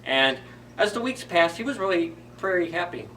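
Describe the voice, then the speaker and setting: Bright-voiced man telling a children's story. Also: high-pitched, animated, normal speed, distant mic, a little hiss or hum, rough recording. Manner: simple repeated phrasing with questions to children. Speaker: library storyteller. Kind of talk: animated children's story retelling, informal.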